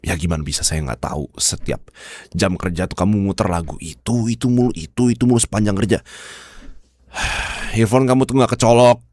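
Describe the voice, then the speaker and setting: Bossy, sardonic office chief played by a male actor for ASMR roleplay; Indonesian accent, very low register, close dry sound. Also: brisk, darkish tone, no room sound, natural voice. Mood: coaxing, exasperated. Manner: bossy, sardonic, addresses listener with rhetorical questions. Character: demanding, bossy male boss who softens into bribing his manager. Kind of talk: ASMR roleplay monologue to the listener.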